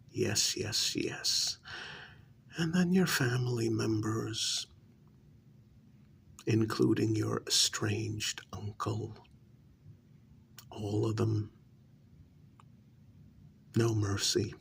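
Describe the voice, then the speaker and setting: A low, gravelly male vampire lord acted by a man in an ASMR role-play. He speaks in a breathy half-whisper with heavy creak, aristocratic and mock-polite. The threat is cold and deliberate, with faint hiss behind the voice. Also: fairly bright tone, exaggerated voice. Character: menacing aristocratic male vampire master, coldly vengeful.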